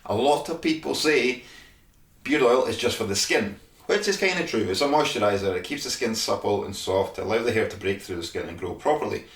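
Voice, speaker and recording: A man's voice: medium pitch, lively intonation, a little fast. Scottish man, chatty demo, echoey room, mic a bit far off. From Scotland. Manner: chatty, frequent "all right?" tags. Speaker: beard care brand host. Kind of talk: casual product demonstration.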